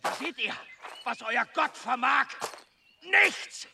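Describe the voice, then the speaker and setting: An actor in a German audio drama playing a bitter heathen village blacksmith, loudly haranguing with scorn. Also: male voice, very high voice, animated, slow, gravelly, noisy background, exaggerated voice. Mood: scornful defiance.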